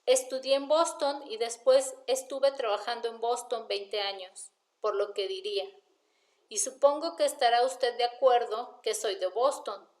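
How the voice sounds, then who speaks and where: A woman's voice: on the high side, measured pace, natural voice. A woman voicing a stern, patient male police detective in an audiobook, questioning formally with cool sarcasm. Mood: patient, icily sarcastic. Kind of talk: audiobook character dialogue.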